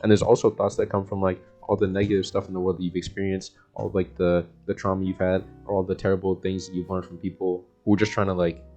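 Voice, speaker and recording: A man's voice: sits low, little pitch movement, fast, few pauses, mellow, soft background music. A young American man giving a casual self-help monologue in a laid-back, slangy, rambling way. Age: young adult. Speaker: vlogger.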